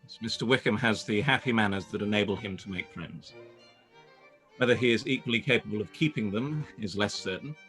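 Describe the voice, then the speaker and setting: An actor playing a proud, reserved, guarded gentleman in a period radio-play reading, speaking in a stiff, formal, restrained way. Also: lowish voice, a little fast, soft background music, lightly acted.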